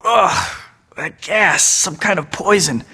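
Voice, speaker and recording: A man's voice: sits high, takes it slowly, sharp tone, slightly rough, long reverb tail, exaggerated voice. An actor voicing a young male superhero who tosses out sarcastic taunts in a video game. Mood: muttering. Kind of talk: game voice clips.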